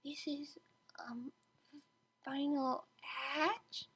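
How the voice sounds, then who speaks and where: A woman voicing a small, anxious young mare in a story, squeaky and near-whispering, nervous and halting. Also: steady tone, a bit bright, exaggerated voice.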